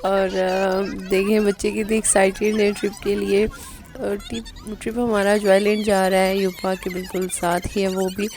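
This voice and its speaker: Hoarse woman vlogger, flat tone. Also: medium pitch, moderate pace, a little hiss or hum. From Pakistan. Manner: chatty, addresses viewers directly. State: hoarse, sore throat. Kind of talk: casual vlog narration.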